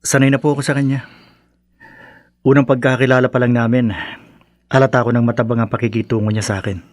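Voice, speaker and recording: An actor with a Filipino accent playing a respectful, slightly hurt young suitor in a radio drama. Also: male voice, mid-range voice, level tone, fast, a little mellow, natural voice. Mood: deferential. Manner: respectful, hesitant.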